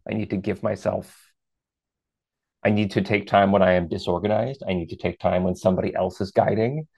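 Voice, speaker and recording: A man in his thirties with a deep voice, a podcast guest talking things through in a reflective, measured way with lively asides. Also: gravelly, breathy edge. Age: 30s. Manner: reflective and measured, lifts into animated quoted asides. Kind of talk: casual interview chat.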